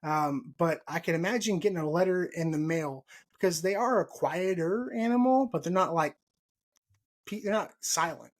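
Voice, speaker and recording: Texas guy in his 30s, kinda higher voice, chatty host on a video call, repeats himself a lot. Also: normal speed. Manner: laughs often, repeats himself, friendly hedging. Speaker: podcast host. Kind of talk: casual chat on a video call. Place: home room, indoors. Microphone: video call mic.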